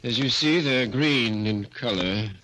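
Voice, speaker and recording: A man's voice: medium pitch, a little slow, close to an everyday voice. A man playing an earnest, courteous doctor with a formal manner, in 1940s radio drama style. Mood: firm, kindly. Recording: slightly noisy old radio recording.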